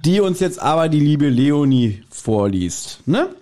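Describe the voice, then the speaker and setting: A man's voice: on the high side, sing-song, a little slow, warm tone. A man hosting a podcast, casually talking through background details of audio dramas. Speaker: podcast host.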